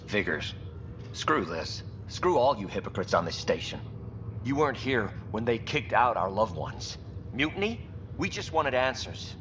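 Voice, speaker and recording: A man's voice: lowish voice, very animated, a little slow. A man playing a bitter, defiant station worker in a video game. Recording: muffled, clear vehicle-like background noise.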